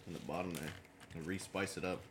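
A man's voice: deep voice, steady tone, fast, very noisy. A man co-hosting a food podcast, making laid-back, dry joking remarks while he eats. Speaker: podcast co-host. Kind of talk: casual chat.

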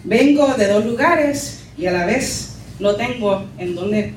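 A woman pastor from Puerto Rico, raised in New York, with a Nuyorican Spanish accent, giving an earnest and measured conference talk. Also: mid-range voice, expressive, slight background noise. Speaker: pastor and lecturer. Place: conference hall. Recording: echoey hall, distant mic, faint music.

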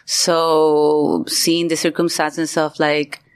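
A middle-aged woman with a Venezuelan Spanish accent and a low-pitched voice. She talks as a guest in a casual interview, telling her story in an informal, candid way. Her delivery is emphatic. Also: level tone, takes it slowly, smooth. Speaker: guest, artist.

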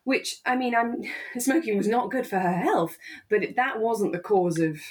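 A woman's voice: mid-range voice, normal speed. A woman co-hosting a podcast in casual, reflective conversation, thinking aloud hesitantly and often repeating phrases as she goes. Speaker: podcast co-host.

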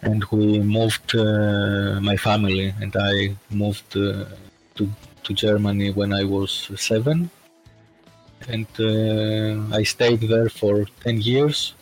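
A man from Greece chatting as a podcast guest, speaking slowly and hesitantly with lots of fillers. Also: lowish voice, flat delivery, soft background music. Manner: slow and hesitant, many fillers. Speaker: podcast guest, bot creator. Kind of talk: casual chat.

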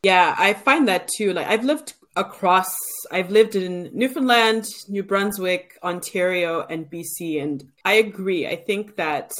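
A woman's voice: mid-range voice, unhurried, few pauses, fairly bright tone. A woman from Canada hosting a podcast and asking questions in a casual, chatty interview style. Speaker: podcast host.

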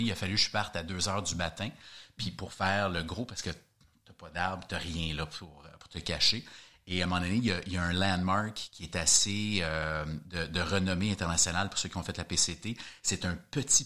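A man with a Québécois French accent, chatting as an interview guest and telling a story, animated, often repeating words as he searches for them. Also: low voice. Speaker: guest hiker.

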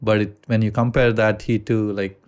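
A man with an Indian accent hosting a casual podcast chat, talking loosely with frequent swearing and halting restarts. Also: on the low side, flat delivery, a little mellow. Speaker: podcast host.

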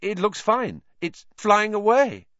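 A man's voice: sits high, expressive, takes it slowly, a bit bright, dry recording, dull audio, pitched above the performer's own voice, heavily acted. A man voicing a nervous teenage boy wizard who speaks hesitantly and anxiously. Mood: nervous, urgent.

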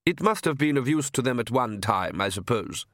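A man's voice: mid-range voice, lively intonation, normal speed, fairly bright tone, no room sound, lightly acted. Urbane vampire patriarch , done by a male narrator: conciliatory, pompous, faintly exasperated, close dry storybook sound. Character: urbane vampire father, patronizing, conciliatory head of family.

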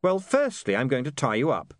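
A man's voice: medium pitch, huge pitch swings, a little fast, no room sound, studio quality, pitched above the performer's own voice. A man voicing an earnest, polite, determined young male newspaperman in an audiobook. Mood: politely firm.

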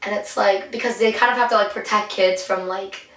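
A young woman with a bright, high voice rattles through rambling stories at a quick clip. She swears freely and keeps the chat casual. The sound is roomy and a bit distant from the mic. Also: steady tone. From New York State. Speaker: podcast co-host. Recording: echoey room, mic at a distance.

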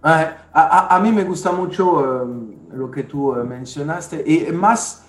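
A man's voice: fairly high pitch, takes it slowly, soft background music. French man hosting, roomy sound. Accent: French, with Dominican touches. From France. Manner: hesitant, many fillers and restarts. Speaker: podcast host. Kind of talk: casual panel discussion.

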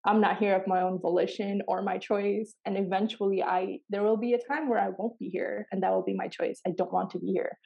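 A woman's voice: mid-range voice, fairly even intonation, a little fast, gravelly, few pauses, muffled recording. A woman whose first languages are Pashto and Farsi, talking earnestly in a reflective podcast conversation and often restarting her sentences. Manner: earnest, searching, many restarts. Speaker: podcast co-host.

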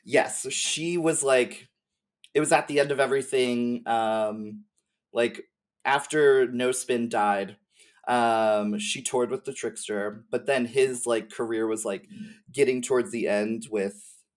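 A man speaking as a podcast guest in casual, halting, informal conversation about game lore, with slang and some swearing. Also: mid-range voice.

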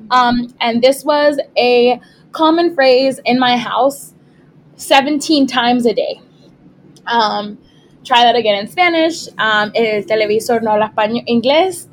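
A young woman hosts a podcast in a relaxed, easygoing chat, often pausing on "um." Her voice is on the higher side. Faint noise sits under the recording. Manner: relaxed, laughs, "um" fillers. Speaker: podcast host. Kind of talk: casual chat.

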